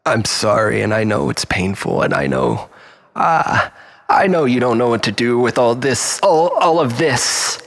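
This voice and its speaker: A man's voice: mid-range voice, big pitch swings, measured pace, gravelly, fairly bright tone, big-room sound, full character voice. A man playing a desperate, manipulative, self-pitying lover in a dramatic monologue, stammering and repeating himself as he swings between pleading and bitterness. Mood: tearful pleading.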